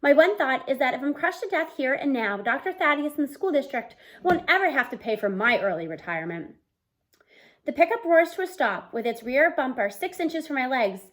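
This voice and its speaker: High-voiced woman reading a story aloud. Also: very animated, brisk, fairly bright tone. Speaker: read-aloud book reader. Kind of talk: expressive children's audiobook-style read-aloud. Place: small room at home, indoors.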